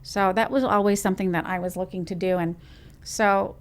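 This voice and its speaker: A woman from Trinidad and Tobago, a business owner, telling her story in a lively, rambling way as an interview guest. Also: medium pitch, a little fast. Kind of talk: conversational interview.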